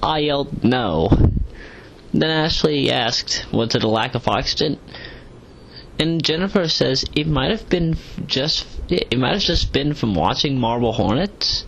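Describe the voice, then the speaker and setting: Male, mid-pitched, halting and stiffly formal audio-log talker, noisy home recording. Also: lively intonation, creaky, a bit bright. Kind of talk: rambling audio log reading out comments with asides.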